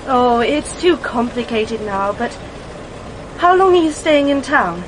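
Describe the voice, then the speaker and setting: A woman's voice: a little high, animated, measured pace, a little hiss or hum, low-quality recording. An actress with a British accent playing an anxious, secretive young woman, polite but guarded, in a radio drama. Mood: guarded, confessing. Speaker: actress in a radio detective play.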